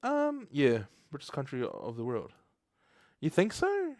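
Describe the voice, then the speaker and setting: Young kiwi dude live coding, chats with viewers a lot, dry close sound. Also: male voice, fairly high pitch, wildly swooping pitch, measured pace, a little mellow, no echo. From New Zealand. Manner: chatty, laughs often, reads chat aloud. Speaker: livestream host. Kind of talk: casual live coding stream.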